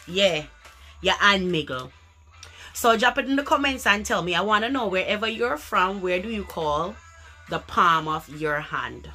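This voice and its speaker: Woman, Jamaican accent, bright forceful voice, medium pitch, casual vlog chat, emphatic repetition, indoor room, faint music behind. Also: measured pace. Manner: animated, emphatic, repeats words for effect, slips into patois. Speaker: vlogger. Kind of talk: casual chat to camera, explaining dialect words. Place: small furnished room indoors.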